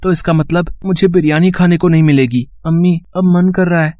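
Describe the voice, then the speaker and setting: Man as a young boy, highish voice, innocent, earnest, curious, dry cartoon recording. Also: fairly even intonation, brisk, no room sound, muffled recording, natural voice. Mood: pleading. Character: innocent young son, pleading for biryani. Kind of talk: cartoon moral story acting.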